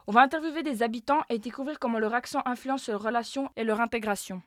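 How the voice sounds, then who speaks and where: Fast-talking woman presenter. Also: on the high side, dry recording, clean studio sound. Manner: brisk, lively presenter delivery. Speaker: host and interviewer. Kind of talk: scripted narration and interview questions.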